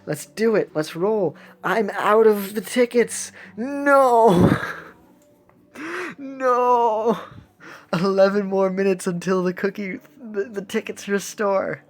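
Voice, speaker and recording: A woman's voice: mid-range voice, slow pace. A woman chatting casually as she streams a game, in a playful, giggly, rambling manner. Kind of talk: casual livestream chat over gameplay.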